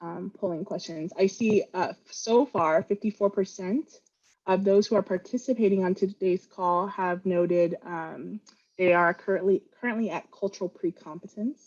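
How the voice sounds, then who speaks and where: A woman's voice: on the low side, normal speed, a little mellow, muffled recording. A woman whose first language is Vietnamese, presenting an online training webinar in an earnest manner, with fillers and restarts, on a video call mic.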